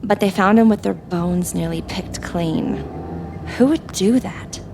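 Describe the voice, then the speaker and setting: A woman's voice: medium pitch, unhurried, vocal fry, background noise. An actress playing a cool, guarded female criminal in an audio drama. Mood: uneasy, hushed.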